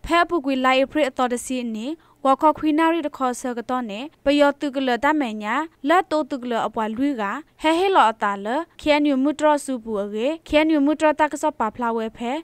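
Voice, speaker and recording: Female newsreader, high and smooth voice, scripted radio delivery. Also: dry recording. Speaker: radio news reader. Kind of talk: scripted news reading.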